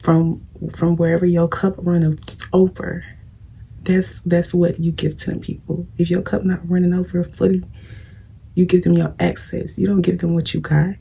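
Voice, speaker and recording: A woman's voice: on the low side, flat delivery, moderate pace, vocal fry, mellow, husky. A young woman with a scratchy voice, chatting bluntly to viewers while doing her makeup. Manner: blunt, preachy, talks straight to viewers. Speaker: vlogger. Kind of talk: casual get-ready-with-me advice chat.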